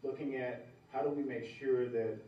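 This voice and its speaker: Middle-aged man, keynote speaker, relaxed and conversational, heard from a distance in a boomy hall. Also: moderate pace. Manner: conversational, repeats words, gestures while speaking. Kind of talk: conference keynote speech. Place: large dark event hall, at a podium. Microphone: podium mic, recorded from afar. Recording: roomy hall sound from far off, dull.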